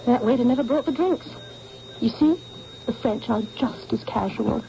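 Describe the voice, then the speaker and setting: A woman's voice: on the high side, normal speed, vocal fry, warm tone. An actress voicing a cheeky young Cockney woman who works as an artist's model, talking bluntly and saucily, in a 1970s radio drama. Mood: frank. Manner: blunt, saucy, drops aitches and says "me". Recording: dull old radio broadcast with faint hiss.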